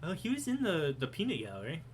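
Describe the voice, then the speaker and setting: A man co-hosting a podcast, chatting casually. He is excitable and profane, and his loudness swings a lot. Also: fairly high pitch, fast, slight background noise. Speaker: podcast co-host. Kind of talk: casual chat.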